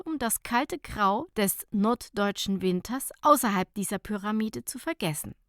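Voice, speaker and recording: A woman narrating a light short story as an audiobook, in measured, clearly phrased storytelling. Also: mid-range voice, fairly bright tone, dry recording, clean studio sound.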